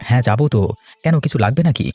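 A man's voice: mid-range voice, rapid-fire, a little mellow, soft background music, lightly acted. A man playing a worried, dutiful young married son in a cartoon, speaking earnestly and reassuringly. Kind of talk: cartoon voice acting, domestic moral story.

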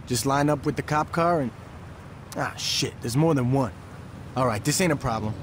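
An actor playing a level-headed young street gang strategist in a video game, streetwise, decisive and profane. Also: male voice, medium pitch, background noise, natural voice. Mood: focused. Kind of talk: scripted video game acting.

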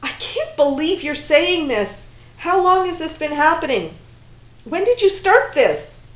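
Betrayed woman, tearful and furious, loud accusing outbursts at a raised pitch, read by a woman narrator, dull roomy recording. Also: big pitch swings, unhurried. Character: distraught, furious woman who feels betrayed.

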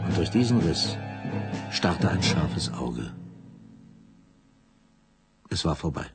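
A man's voice: low voice, sing-song, takes it slowly, over loud music. A man narrating a radio play with a vivid, dramatic reading.

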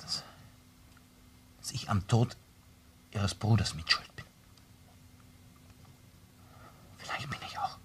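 An actor playing a cornered, agitated husband under suspicion in a crime drama, defensive and accusatory. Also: male voice, low-pitched, pushed and bright, a little hiss or hum, heavily acted. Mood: broken, confessing.

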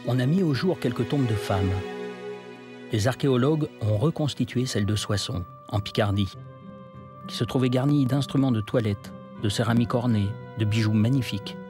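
A man narrating a historical documentary from a script, in a measured storytelling manner. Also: mid-range voice. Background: music.

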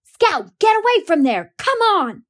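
A woman plays a hot-headed big-brother boy who hollers and scolds. His voice jumps well above the reader's own, loud and urgent, a storybook child in a hurry. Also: mid-range voice, lively intonation, normal speed, close to an everyday voice. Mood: panicked shouting. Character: excitable bossy young boy, big brother.

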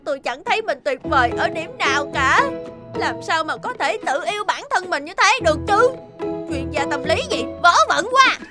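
A woman's voice: very high-pitched, lively intonation, moderate pace. A woman voicing a bitter, exasperated office worker in a comic cartoon, dramatic and emphatic. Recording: children's music behind the voice.

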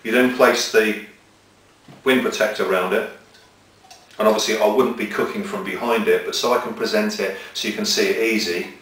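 A man's voice: fairly low pitch, steady tone, normal speed, a bit bright, far from the mic, a little hiss or hum. A middle-aged man with a methodical, step-by-step manner, presenting and demonstrating outdoor cooking gear at a table. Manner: methodical, explains step by step while handling gear. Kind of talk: hands-on equipment demonstration. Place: small indoor room with table of gear. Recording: echoey room, mic set back.

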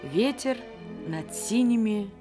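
A woman's voice: medium pitch, expressive, takes it slowly. A woman formally announcing the titles of a radio play. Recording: violin music under the voice. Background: violin music.

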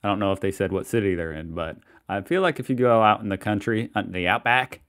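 A man's voice: lowish voice, quick pace, a bit bright. A young American man hosting a reaction video, talking casually with rambling, self-questioning asides from a small room. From United States.

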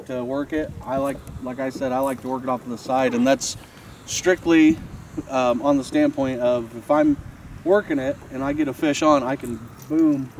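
A man's voice: mid-range voice, creaky, a little mellow. A man in his thirties giving a casual, practical fishing lesson outdoors, explaining while he handles his gear. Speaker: fishing instructor. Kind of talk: casual outdoor instructional demo. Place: outdoors on a boat and a snowy riverbank. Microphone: camera mic from a few feet away. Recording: distant camera mic, faint outdoor noise.

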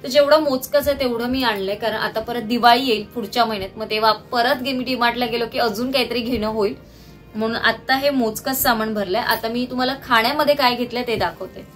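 A woman's voice: fairly high pitch, fairly even intonation, a little fast, a bit bright, faint music behind. A woman, chatting casually to viewers and explaining things item by item like a home vlogger. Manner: explanatory, itemising. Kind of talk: casual product haul chat to viewers. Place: small indoor room at home, seated on the floor.

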